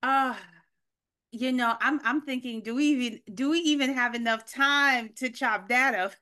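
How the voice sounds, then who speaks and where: A woman's voice: sits high, moderate pace, sharp tone. A woman who grew up in Haiti, answering as a podcast guest over a video call, thoughtful and searching, with many ums. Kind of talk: casual interview answers.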